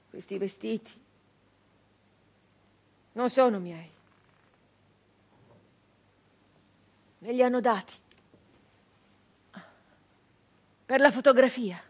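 An actress playing a captured nineteenth-century brigand woman, wounded, bitter and doomed, in an intense, halting theatrical monologue. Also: a little high, slightly rough. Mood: weary, sorrowful. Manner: intense, halting delivery with repeated phrases.

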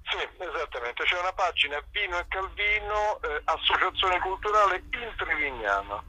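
A man's voice: high-pitched, animated, normal speed, sharp tone, husky, no echo. A man chatting casually over a telephone line, explaining in a rambling, hesitant way with wry, self-deprecating asides. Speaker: event organiser, interview guest. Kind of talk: casual phone interview, informal explaining. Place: remote phone call to a radio show.

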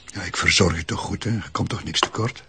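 A deeply creaky, low-voiced Flemish kidnapper with a soft heart. He sounds calm and reassuring, in understated radio drama with a little background noise. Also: brisk. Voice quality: gravelly. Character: soft-hearted male kidnapper reassuring his young captive. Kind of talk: naturalistic audio drama acting.

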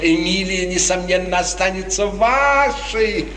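Smooth scheming courtier, echoey old recording. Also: male voice, sits high, unhurried, fairly bright tone, recorded from a distance. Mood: pressing, insinuating. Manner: unctuous, florid, insinuating courtly speech. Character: smooth, scheming male courtier, falsely friendly and touchy. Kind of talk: 1950s Russian radio theatre acting. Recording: noisy echoey 1950s radio drama recording.

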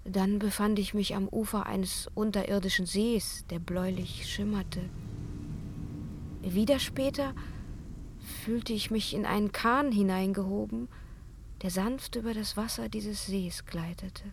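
Breathy, spellbound young opera singer, played by a woman. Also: mid-range voice, steady tone, a little slow, a little hiss or hum, lightly acted. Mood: dazed, remembering. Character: spellbound, frightened young opera singer recounting her ordeal. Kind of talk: breathy intimate radio drama narration.

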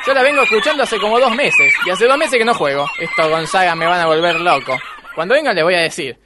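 A man's voice: high voice, expressive, fast, heavy background noise. A man playing an aggrieved, whiny husband in a comic radio play, ranting pedantically with an Argentine Rioplatense accent. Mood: exasperated. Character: aggrieved whiny husband obsessed with tennis court.

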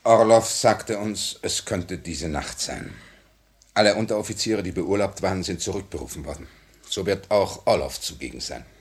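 A man's voice: deep voice, animated, normal speed. An actor playing a blunt, doubting male revolutionary in a 1950s German-language radio drama, with emphatic, urgent delivery. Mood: grave.

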